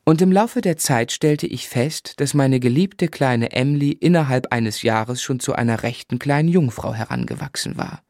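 An actor playing a grown man gently and wistfully recounting his boyhood as a measured radio drama narrator. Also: medium pitch, dry recording, clean studio sound, lightly acted. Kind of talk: literary first-person narration in radio drama.

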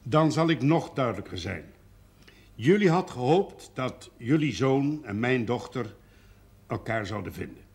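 An actor playing a proud, plain-spoken village brewer and father in a period television drama, with a husky voice. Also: mid-range voice, animated, measured pace, a little mellow. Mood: frank, reluctant. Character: firm, proud rural brewer and devoted father. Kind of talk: 1970s Dutch television period drama acting.

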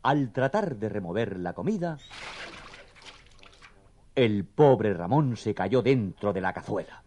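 A man's voice: on the low side, very animated, takes it slowly, warm tone. A man narrating a scripted children's story as the storyteller.

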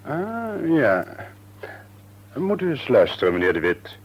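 Lively, long-winded husband in radio play. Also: male voice, medium pitch, slow, heavily acted. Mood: deliberate. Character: talkative jovial husband with a business plan. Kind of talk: old Dutch radio play acting. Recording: noisy old radio play recording.

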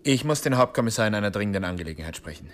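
An actor playing a stern, insistent police official in a radio crime drama, officious and emphatic. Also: male voice, on the low side, lively intonation, rapid-fire, natural voice. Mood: controlled, urgent. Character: stern, insistent male police doctor confronting a killer.

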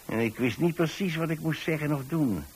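A man's voice: a little high, brisk, darkish tone, slightly rough, poor audio. An actor playing a chatty, easily flustered old pensioner who tells his story in a hesitant, rambling way, repeating words. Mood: rambling, reminiscing. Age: older, retired. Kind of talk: radio monologue storytelling. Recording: hissy old radio recording.

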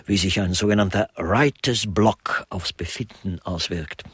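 An actor playing a sardonic artist turned amateur investigator in a radio play, talking in a mannered, literary, dryly ironic way. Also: male voice, low-pitched, unhurried, fairly bright tone, breathy edge, dry recording, heavily acted. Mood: sardonic, probing. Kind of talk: German radio play dialogue.

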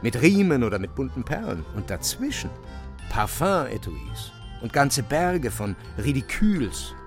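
A man's voice: medium pitch, sing-song, unhurried, faint music behind. A man narrating a literary radio drama as a dramatic storyteller.

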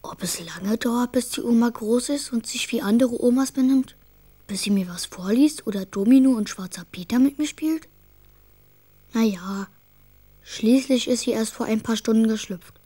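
Small boy played by a woman: high voice, earnest, caring, chatty, for a children's radio play. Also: moderate pace, warm tone, lightly acted. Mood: quiet musing. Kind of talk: children's radio drama acting.

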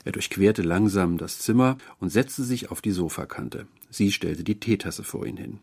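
A man's voice: deep voice, moderate pace, vocal fry, darkish tone, slightly rough. A man reading literary prose as a scripted storyteller, narrating a tale. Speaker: narrator, reader.

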